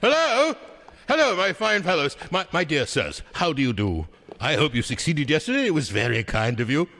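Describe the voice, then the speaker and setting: An actor playing a joyful, giddy old reformed miser with exuberant, effusive delivery in a theatrical radio drama. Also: male voice, fairly high pitch, very animated, moderate pace, gravelly, a bit bright, heavily acted. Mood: cheerful, kindly. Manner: exuberant, effusive, laughing, exclaiming. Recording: reverberant hall sound.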